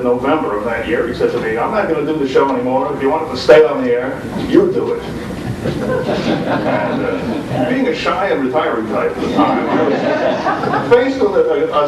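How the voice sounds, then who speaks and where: A middle-aged man, a radio show host, telling an anecdote conversationally on a panel. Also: mid-range voice. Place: convention room before an audience. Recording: roomy, distant, noisy informal video recording.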